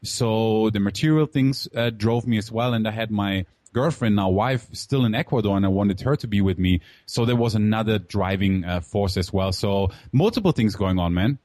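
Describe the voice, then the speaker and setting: A man's voice: lowish voice, moderate pace, hardly any pauses, a little mellow, dry recording, studio quality. A man who grew up in Germany, in his thirties, telling his life story in a casual interview with many fillers and an open, candid way of talking. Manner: candid, filler-heavy, calls host "man". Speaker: guest entrepreneur.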